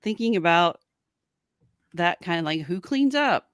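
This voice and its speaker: A woman's voice: lowish voice, expressive, a little slow, dry recording, clean studio sound. A woman co-hosting a live podcast, chatting casually and musing aloud, often trailing off mid-thought. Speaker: podcast co-host. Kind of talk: casual fan chat on a live stream.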